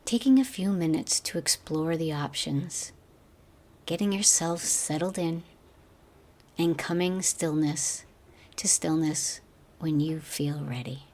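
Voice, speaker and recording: A woman guiding a meditation as a teacher, speaking gently in slow, measured phrases that trail off softly. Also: sits low. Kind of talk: guided meditation narration.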